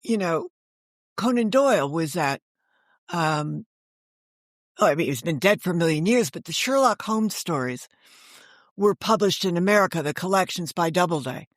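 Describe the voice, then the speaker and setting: A woman's voice: fairly low pitch, expressive, normal speed, long pauses, dry recording, studio quality. An older woman talking as an interview guest, telling stories conversationally and correcting herself as she explains. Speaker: guest, author and editor.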